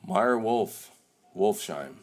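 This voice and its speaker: A young man playing a shady older businessman on a phone call, speaking in a low, clipped way. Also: huge pitch swings, very slow, full character voice. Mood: cool. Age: young adult.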